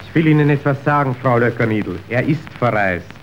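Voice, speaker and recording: A man's voice: medium pitch, natural voice. Man acting a slick, calculating factory official, muffled recording. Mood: coolly persuasive. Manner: measured, persuasive, officious. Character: slick, calculating male factory boss's agent, smoothly manipulative. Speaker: actor. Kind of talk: stage-like German radio drama acting. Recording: dull, noisy old radio recording.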